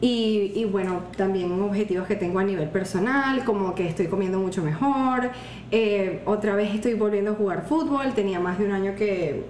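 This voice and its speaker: A woman in her twenties with a Venezuelan accent, co-hosting a casual podcast chat in a calm, measured way. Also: medium pitch. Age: 20s. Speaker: podcast co-host. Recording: echoey room with faint noise.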